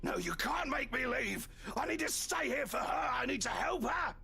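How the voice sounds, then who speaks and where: Woman voicing crazed drunken inspector, gravelly, sharp, strained, desperate, game character. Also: high voice, lively intonation, moderate pace, pitched above the performer's own voice, full character voice. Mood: desperate, frantic.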